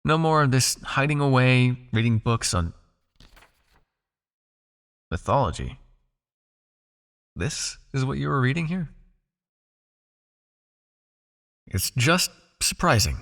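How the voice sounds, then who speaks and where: A man playing a possessive, teasing vampire captor in an intimate ASMR hypnosis roleplay, speaking in a soft, coaxing, commanding way. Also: mid-range voice, big pitch swings, unhurried, long pauses. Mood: playful, coaxing.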